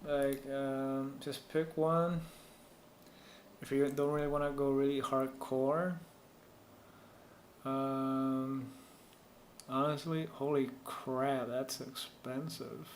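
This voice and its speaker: A man's voice: medium pitch, flat delivery, takes it slowly, long pauses. Echoey Puerto Rican streamer, native Spanish-speaking man. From Puerto Rico. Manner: rambling, thinking aloud while reading chat. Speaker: live stream host giving PC troubleshooting advice. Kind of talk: casual live stream chat. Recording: echoey room with faint noise.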